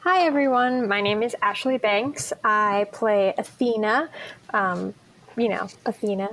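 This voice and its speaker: A woman's voice: medium pitch, a little slow, fairly bright tone, poor audio. A woman who acts in audio drama, talking casually as an interview guest. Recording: clear background room noise.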